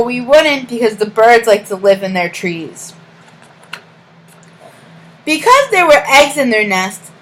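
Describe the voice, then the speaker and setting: A woman reading a storybook aloud as a teacher to young children, in a deliberate sing-song way, pausing to ask questions and give praise. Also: fairly high pitch, poor audio.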